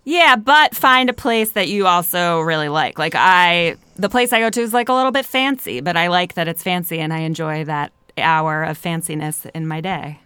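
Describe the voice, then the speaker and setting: The guest in the other chair on a laid-back podcast: a Philadelphia woman with a clear, slightly low voice. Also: moderate pace, few pauses, sharp tone, no echo. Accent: Philadelphia American. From Philadelphia, United States. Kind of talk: casual conversational chat.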